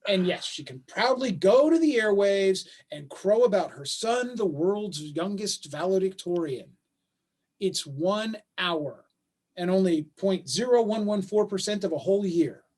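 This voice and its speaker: Father, male actor, high-pitched voice. Conciliatory, self-deprecating, halting, working-class dad in naturalistic stage drama. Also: lively intonation, darkish tone. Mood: bargaining. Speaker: stage actor in a play reading. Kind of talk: staged play reading, naturalistic dialogue. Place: remote video call.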